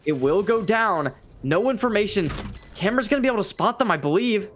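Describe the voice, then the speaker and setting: A man's voice: sits high, animated, a little fast, fairly bright tone. A man giving live play-by-play commentary on an esports match. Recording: clearly noisy stream with clicks.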